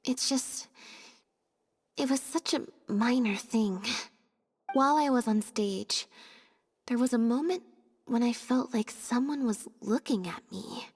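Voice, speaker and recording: A woman playing a reserved young female stage assistant in a video game, speaking in a deadpan, understated way. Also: on the high side, measured pace, pauses often, husky, big-room sound. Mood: subdued.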